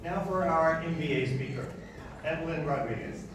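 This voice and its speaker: Halting man introducing speakers, echoey hall. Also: fairly high pitch, recorded from a distance, noisy background. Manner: halting, with repeated restarts. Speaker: ceremony host introducing speakers. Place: large hall. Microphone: PA heard from back of room.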